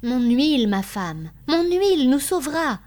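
A woman's voice: fairly high pitch, very animated, normal speed, pitched above the performer's own voice. A woman voicing a proud, hopeful middle-aged shopkeeper husband in an audiobook, speaking insistently and reassuringly. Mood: fervent hope. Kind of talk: dramatised audiobook dialogue.

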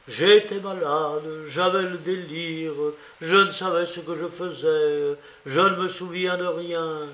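A man's voice: high voice, a bit bright, voice pushed up, exaggerated voice. A man voicing a mocking, falsely jovial examining magistrate who goads a suspect in a theatrically familiar way, in an audiobook. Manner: goading, chuckling, theatrically familiar. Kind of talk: dramatized audiobook reading. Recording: roomy, echoing voice recording.

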